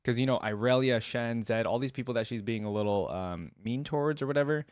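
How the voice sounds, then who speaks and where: A man's voice: mid-range voice, a little fast, darkish tone, no room sound, clean studio sound. A man giving casual reaction commentary as a host, rambling and thinking aloud.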